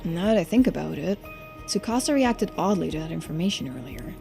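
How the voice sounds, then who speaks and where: A woman's voice: lowish voice, lively intonation, fairly quick, gravelly, warm tone, soft background music. Earnest, anxious young game hero, voiced by a woman. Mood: pensive. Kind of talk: video game dialogue read-aloud.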